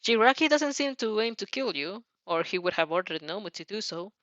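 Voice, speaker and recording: A woman narrating a fan fiction story dramatically, with an expressive, somewhat halting delivery. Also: mid-range voice, dry recording, highs cut off.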